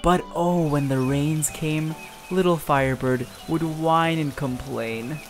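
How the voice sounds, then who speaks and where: A narrator reading a children's storybook aloud in an expressive, dramatic and deliberate storytelling style. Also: medium pitch. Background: music.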